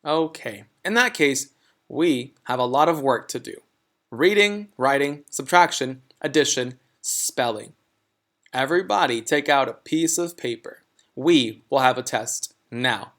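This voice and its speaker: A man's voice: medium pitch, expressive, a little slow, fairly bright tone. A man voicing a nice, dotty, easily astonished woman schoolteacher with an emphatic, exclaiming manner. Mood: brisk.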